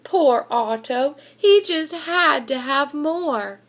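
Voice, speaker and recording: A woman with a high voice reads a children's storybook aloud. She takes her time, with a deliberate, animated storytelling delivery that puts expressive emphasis on the words. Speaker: school reader of children's books. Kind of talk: expressive children's picture book read-aloud.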